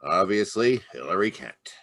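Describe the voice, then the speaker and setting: A man voicing a weary police inspector in an old-time radio play, gruff and plain. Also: low-pitched, sing-song, normal speed, fairly bright tone, no room sound, full character voice.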